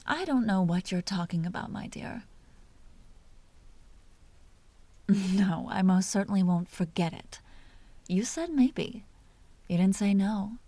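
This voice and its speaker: A woman playing a seductive, possessive vampire duchess in an intimate audio roleplay, teasing and genteel in a breathy whisper. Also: mid-range voice, lively intonation, dark tone, no room sound. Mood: teasing, coy. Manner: slow, teasing, genteel and commanding.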